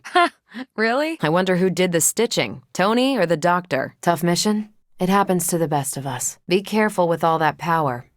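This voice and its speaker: A woman's voice: lowish voice, dry recording, clean studio sound, close to an everyday voice. A young woman with an American accent playing a confident, wisecracking superhero in a video game, wry and punchy. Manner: wry, punchy, self-assured. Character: confident, wisecracking, battle-ready female superhero. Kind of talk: video game character voice lines.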